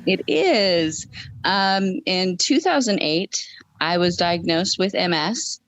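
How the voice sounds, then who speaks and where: A woman's voice: on the low side, animated, slow pace, poor audio. A woman in her fifties telling a personal story conversationally as a podcast guest, stressing points by repeating phrases. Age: 50s.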